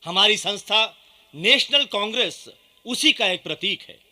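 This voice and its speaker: High, piercing nationalist orator. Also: male voice, expressive, a little slow, sharp tone, heavily acted. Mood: proud, hopeful. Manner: rousing, formal, declamatory. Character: proud, earnest Indian nationalist leader giving presidential speech. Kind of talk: Hindi radio play oratory.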